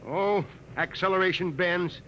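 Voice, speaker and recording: A bright, high-voiced spaceman, a folksy old radio hand who wheedles and pleads. It is 1950s radio drama on a slightly noisy recording. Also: measured pace. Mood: pleading. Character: aging spaceship radio veteran, eager, pleading, loyal. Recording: muffled, noisy 1950 radio broadcast.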